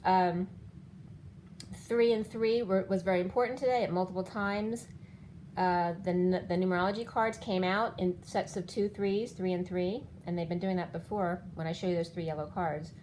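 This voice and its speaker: A woman's voice: fairly low pitch, fairly even intonation, moderate pace, a little mellow, slight background noise. Fifty-something woman reading tarot, fading voice. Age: 50s. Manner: rambling, explaining cards one by one. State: losing her voice. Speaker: tarot reader. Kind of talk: spiritual tarot reading monologue.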